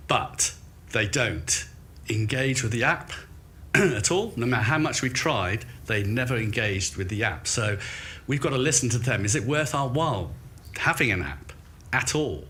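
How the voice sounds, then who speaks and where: A man's voice: mid-range voice, lively intonation, unhurried, slight background noise. A man with a British accent who organises trade shows, speaking emphatically on a panel about running events. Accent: British English. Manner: loud, emphatic, anecdotal. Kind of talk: panel discussion. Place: event venue in central London.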